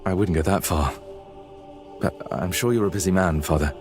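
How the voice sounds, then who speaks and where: A man plays a young fantasy game hero with a very low voice. He is polite but guarded and quietly defiant, answering in clipped phrases over clear music. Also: moderate pace, lightly acted. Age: young adult. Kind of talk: dark fantasy game acting.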